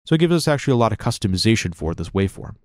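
Fast-talking low-voiced male tutorial instructor. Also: sing-song, dry recording, studio quality. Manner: conversational, explanatory. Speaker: music production tutorial instructor. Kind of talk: casual software tutorial narration.

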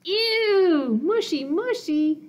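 Woman doing squeamish, high-pitched little monsters. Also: expressive, extremely slow, exaggerated voice. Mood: grossed out. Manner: exclaiming, dramatic, grossed out. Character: excitable, squeamish little picture-book monsters.

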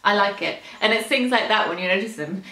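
A young woman in a casual book chat, explaining things in a chatty, animated way. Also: medium pitch, brisk. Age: young adult. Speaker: book discussion co-host. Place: small indoor room with bookshelves. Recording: echoey room sound.

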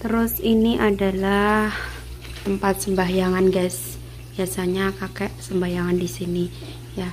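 A woman's voice: medium pitch, flat delivery, unhurried, pauses often, darkish tone, slight background noise. A young woman from Indonesia giving a casual house tour of her employer's apartment, filming on a handheld phone as she walks from room to room, often dropping to a near whisper. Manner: chatty, repeats "seven seperti ini", often drops to a whisper. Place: apartment in Taiwan, indoors. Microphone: handheld phone filming while walking.

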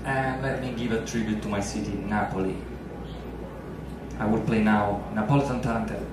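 A man's voice: on the low side, steady tone, normal speed. A man in his thirties from Naples with an Italian accent, a pianist entertainer bantering and quizzing his audience on stage with a handheld mic. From Naples, Italy. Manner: joking, chatty, quizzes the crowd. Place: cruise ship lounge stage, large room. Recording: echoey lounge from far off, audience noise.

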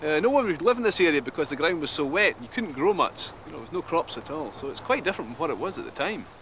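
An actor playing the earnest ghost of a medieval soldier in an educational television re-enactment. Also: male voice, a little high, very animated, quick pace, fairly bright tone, slight background noise. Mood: earnest, matter-of-fact.

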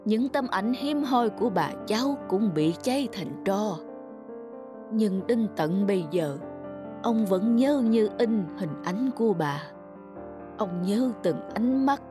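A gentle old grandfather in a cartoon. He speaks tenderly and wistfully, taking his time like a wise elder, with music playing underneath. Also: medium pitch. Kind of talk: cartoon dub.

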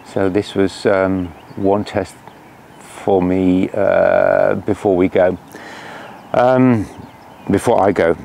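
A man talking casually to the camera from a lakeside campsite, unhurried and hesitant, with many fillers. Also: deep voice, fairly even intonation, warm tone, a little hiss or hum. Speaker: cycling vlogger. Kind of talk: casual outdoor vlog. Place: lakeshore campsite outdoors by mountains. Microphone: camera at a distance.

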